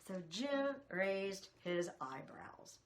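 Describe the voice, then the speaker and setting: A woman storyteller reads a picture book for children. Her pitch moves in lively swings while the pace stays unhurried. She speaks straight to young listeners and draws them in with questions. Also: a little hiss or hum. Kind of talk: animated picture-book read-aloud.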